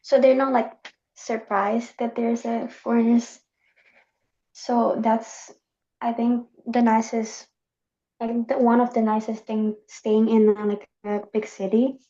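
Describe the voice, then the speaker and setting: A woman's voice: on the high side, level tone, slow, warm tone. A young woman from Indonesia whose first language is Indonesian, speaking hesitantly with many fillers in a casual group discussion. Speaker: student panelist. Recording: dull lo-fi sound.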